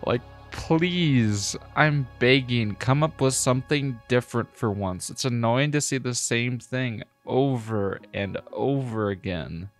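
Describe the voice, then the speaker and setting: A man's voice: mid-range voice, slow pace, hardly any pauses. A man narrating a scripted video review in a critical, exasperated, emphatic manner. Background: music.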